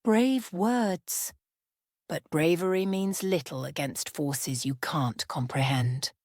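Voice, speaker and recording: A woman acting as a cold, menacing villain in a thriller audio drama, condescending and deliberate. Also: low-pitched, a little mellow, no room sound, clean studio sound. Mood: taunting threat. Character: cold, menacing female villain, shadowy cartel leader.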